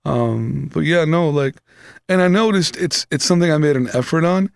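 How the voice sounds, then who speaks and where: Brief: New man, 30s, gravelly and dry, loose profane podcast co-host. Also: on the high side, moderate pace, dry recording. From New York City. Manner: loose, profane, full of fillers and asides. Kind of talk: casual chat. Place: small studio room, indoors. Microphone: studio mic close up.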